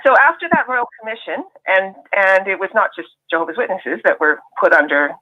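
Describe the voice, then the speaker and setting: A woman's voice: mid-range voice, sing-song, brisk. Woman, Canadian accent, caller, chatty and enthusiastic, thin phone-line sound. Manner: chatty, enthusiastic, laughs often. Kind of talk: casual call-in chat.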